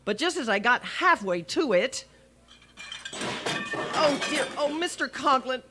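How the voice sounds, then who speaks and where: A woman's voice: high-pitched, lively intonation, slow pace, noisy background. A woman playing a wry, self-deprecating schoolteacher with dry asides in an old-time radio comedy.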